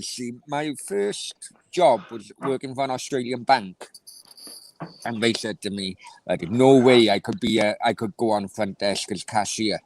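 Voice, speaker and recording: A man's voice: medium pitch, poor audio. A man with a South Wales accent hosting a casual football chat, rambling with many restarts. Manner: rambling, stumbling restarts, laughs easily. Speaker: host.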